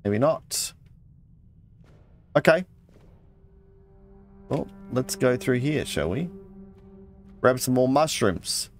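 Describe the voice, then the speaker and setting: A man's voice: mid-range voice, lively intonation, slow pace, long pauses, soft background music. A man giving casual let's play commentary, muttering his thoughts aloud and reading out item text.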